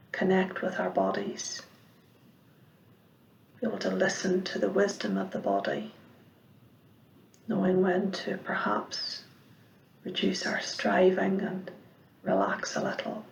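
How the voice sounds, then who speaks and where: A woman giving guided meditation instruction as a mindfulness teacher, speaking in a measured, gentle way with pauses and soft, breathy phrase endings. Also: medium pitch. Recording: roomy, echoing sound.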